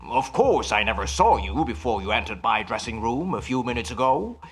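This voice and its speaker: Self-assured stage mind reader, dramatically animated. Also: male voice, medium pitch. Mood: grave, confident. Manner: measured, emphatic, formal delivery. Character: self-assured male nightclub mind reader turned sleuth. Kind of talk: old-time radio mystery acting.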